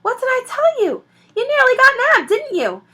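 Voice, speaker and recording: A woman's voice: extremely high pitch, very animated, normal speed, sharp tone, pitched above the performer's own voice, heavily acted. A woman reading a story and voicing an angry, possessive male rat, shrieking with scornful indignation. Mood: furious scolding.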